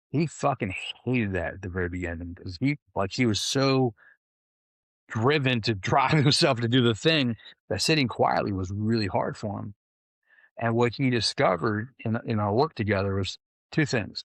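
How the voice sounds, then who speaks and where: A man's voice: on the low side, no echo. A man coaching clients, talking in a loose, rambling way with many fillers. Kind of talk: casual conversation.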